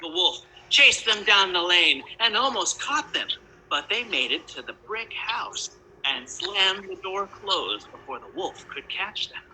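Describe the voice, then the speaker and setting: A woman's voice: mid-range voice, big pitch swings, measured pace, bright, forceful tone, low-quality recording. A woman narrating a children's story in a dramatic storytelling style.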